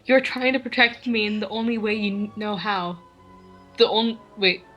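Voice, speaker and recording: Bright-voiced woman reading fan fiction. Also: medium pitch, normal speed, highs cut off. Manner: reads with small restarts, laughs at the text. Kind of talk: casual dramatic reading. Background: faint classical music.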